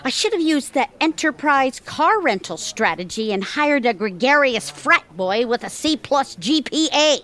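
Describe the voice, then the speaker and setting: Woman playing a sardonic, animated older career woman. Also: high voice, normal speed, hardly any pauses. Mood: indignant, bitter. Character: tough, sardonic older career woman facing forced retirement. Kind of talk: audio theatre comedy acting.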